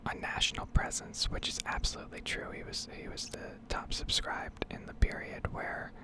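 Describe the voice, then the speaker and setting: A woman whispering a rambling, improvised ASMR game commentary in a hesitant, unhurried way, with a breathy, creaky edge. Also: rough recording. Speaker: gaming ASMR creator.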